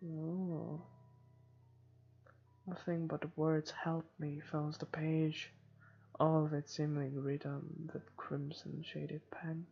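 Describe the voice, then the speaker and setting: Slow, creaky-voiced man reading horror narration. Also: a little high, completely flat delivery, muffled recording. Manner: halting, stumbles and rereads. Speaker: gamer reading a visual novel aloud. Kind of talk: dramatic read-aloud of first-person horror narration. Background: game music.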